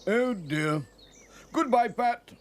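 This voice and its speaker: A man's voice: very high-pitched, lively intonation, slow pace, voice pushed up, exaggerated voice. A man voicing a mild, forgetful, dithering country vicar in a children's cartoon. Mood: flustered. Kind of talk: children's cartoon character acting.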